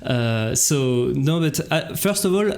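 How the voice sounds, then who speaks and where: A man's voice: medium pitch, animated, slow pace, creaky, a little mellow. A man hosting a casual livestream chat and introducing guests, often repeating words as he gropes for phrasing. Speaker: show host.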